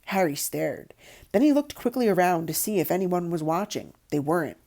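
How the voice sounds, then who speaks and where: A woman narrating a children's novel aloud in an expressive storybook style. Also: lowish voice, moderate pace. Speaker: audiobook narrator.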